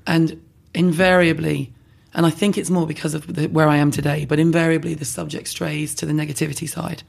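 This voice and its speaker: A husky-voiced woman speaking bluntly and animatedly as a podcast guest in a candid conversation. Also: low voice, brisk. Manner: blunt, animated, self-deprecating. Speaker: skincare blogger guest.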